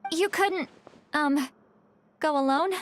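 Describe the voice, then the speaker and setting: A woman's voice: high-pitched, slow pace, slight background noise. An actress playing a proud, easily flustered elderly shaman in a video game, muttering theatrically in exasperation. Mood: flustered, sulky.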